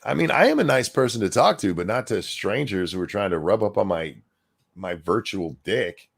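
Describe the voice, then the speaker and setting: Gravelly, animated man ranting. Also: on the low side, moderate pace. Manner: crude, sarcastic, loud outbursts. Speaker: stream host. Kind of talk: casual profane ranting chat.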